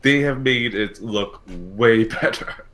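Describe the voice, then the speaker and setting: A man's voice: fairly low pitch, slow pace, fairly bright tone. A man hosting a casual gaming talk show, thinking aloud and correcting himself in a conversational way. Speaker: podcast host.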